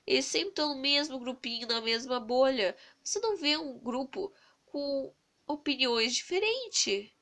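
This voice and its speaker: A young woman with a Brazilian Portuguese accent talks close into a phone camera, hoarse and congested from a cold. Her voice still sits fairly high and comes through bright and edgy. She vents earnestly and rambles, restarting her sentences as she goes. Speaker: vlogger. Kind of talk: casual vlog rant. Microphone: phone camera held close to the face.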